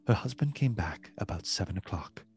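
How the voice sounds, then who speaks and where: A man narrating a literary radio play in a solemn, measured, dramatic way, with a husky voice. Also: lowish voice, expressive, darkish tone. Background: music.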